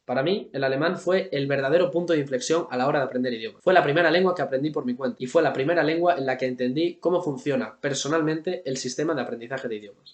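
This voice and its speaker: A young man from Spain telling his own story as a language-learning video creator, in steady, earnest scripted storytelling. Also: mid-range voice, reverberant. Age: young adult. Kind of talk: scripted personal storytelling to camera.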